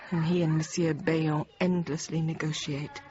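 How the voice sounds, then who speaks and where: Actress as low-voiced, weary hostage teacher narrating. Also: female voice, little pitch movement, normal speed, warm tone, lo-fi sound, lightly acted. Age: 30s. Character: thirty-year-old female teacher held hostage, weary, reflective. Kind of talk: intimate first-person radio drama narration.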